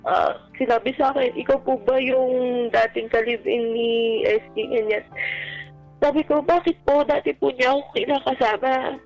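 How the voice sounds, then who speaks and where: A woman calling a radio show by phone, telling a personal story haltingly and politely, quoting dialogue as they go. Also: sits high, a little mellow, faint music behind. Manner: halting, polite, uses respectful "po" often. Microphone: telephone. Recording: dull, band-limited radio phone call.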